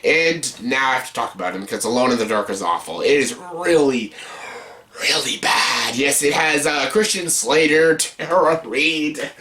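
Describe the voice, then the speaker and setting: A man with a sharp, bright, mid-pitched voice hosts an unscripted review countdown. He is blunt and exasperated, hitting words hard and repeating them for emphasis. His delivery is casual and opinionated, with some swearing. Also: animated, normal speed. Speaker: host of a movie countdown video.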